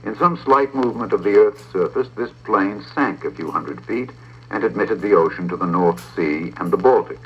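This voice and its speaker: A man, middle-aged, narrating a script in a measured, formal way with precise diction, on an old talking-book recording. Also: on the low side, slightly rough, highs cut off. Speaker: audiobook narrator and presenter.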